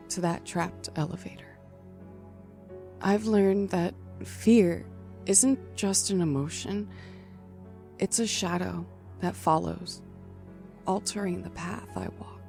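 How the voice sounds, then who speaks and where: Haunted survivor narrator, acted by a woman, deliberate, solemn and intense, music underneath. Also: lowish voice, gravelly, dark tone. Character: haunted female survivor of a killer's attack, narrating. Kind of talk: dramatic scripted audio drama narration. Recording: music behind.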